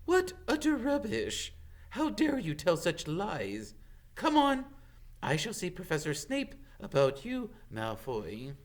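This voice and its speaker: Man putting on a stern, high-pitched woman professor. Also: huge pitch swings, full character voice. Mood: scornful. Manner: scolding, indignant, drawn-out emphasis.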